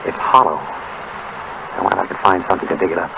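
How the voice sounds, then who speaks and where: A man's voice: low voice, fairly even intonation, fairly quick, vocal fry, highs cut off. A man playing a suave, dry, unflappable amateur sleuth in a 1930s radio drama. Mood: urgent, intent. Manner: casual, wry. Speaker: radio actor. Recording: very noisy 1930s radio recording.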